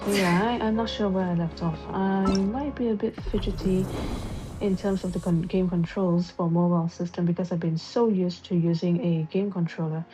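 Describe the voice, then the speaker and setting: A woman's voice: fairly even intonation, normal speed. A woman livestreaming from home in a subdued, casual chat, speaking into an earbud microphone while she plays a game. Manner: low-key, subdued. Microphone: wireless earbuds mic on a phone. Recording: dull lo-fi earbud mic, game music behind. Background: game music.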